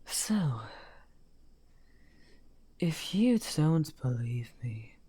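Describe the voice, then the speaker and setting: A man playing a misunderstood, weary villain wizard in an ASMR fantasy roleplay, amused and softly menacing, talking teasingly and half in whispers. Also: fairly high pitch, big pitch swings, extremely slow, breathy edge, heavily acted. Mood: quiet menace.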